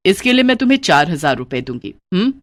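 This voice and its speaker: A woman's voice: fairly low pitch, sing-song. A woman voicing a bossy rich employer. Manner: commanding, brisk. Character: bossy well-off lady employer.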